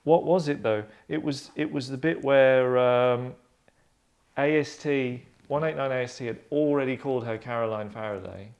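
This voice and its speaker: A man's voice: medium pitch, moderate pace, creaky, a little mellow. A man, a writer narrating and discussing a script at a table read. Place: small living room, seated on sofas.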